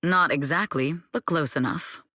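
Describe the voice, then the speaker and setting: A woman playing a shrewd, composed female manager and former spy in a video game, measured, dry and matter-of-fact. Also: sits low, sharp tone, no room sound. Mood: coy.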